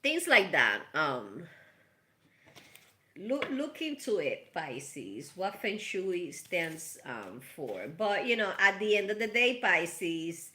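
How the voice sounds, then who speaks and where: A woman's voice: on the low side, big pitch swings, slow pace, sharp tone, slight background noise. A woman from Puerto Rico with a Puerto Rican accent and Spanish as her first language, hosting a casual tarot reading and speaking chattily and affectionately to her viewers. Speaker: tarot reader and channel host. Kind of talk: casual live tarot reading with spiritual advice.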